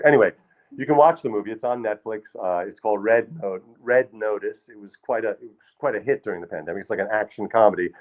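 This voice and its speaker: A man's voice: fairly low pitch, dull audio. A middle-aged man telling funny, rambling stories about acting to a live audience, with lots of restarts. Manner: rambling, self-deprecating, many restarts. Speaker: storyteller performer. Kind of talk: live comedic storytelling. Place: small hall with live audience.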